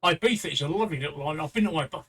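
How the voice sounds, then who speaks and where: A man talks in a rambling, jokey way, often repeating himself. His voice is bright and forceful, somewhat raised in pitch, and he sits a little away from the microphone. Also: very fast, slight background noise. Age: 60s. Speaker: co-host of a livestream talk show. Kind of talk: casual chat. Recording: voice somewhat far from the mic.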